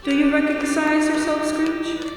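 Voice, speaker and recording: A woman's voice: high-pitched, completely flat delivery, measured pace. Woman doing a stern taunting ghost spirit for a radio play, loads of echo, music behind, theatrical pointed questions. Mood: teasing, probing. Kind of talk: old-time radio play acting. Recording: echoey hall, distant, music behind.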